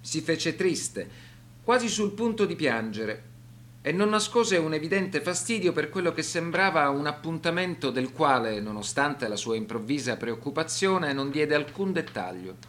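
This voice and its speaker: A man's voice: a little high, big pitch swings, moderate pace, a bit bright, slight background noise. Male narrator, serious, widely varied intonation. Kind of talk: scripted documentary radio drama narration.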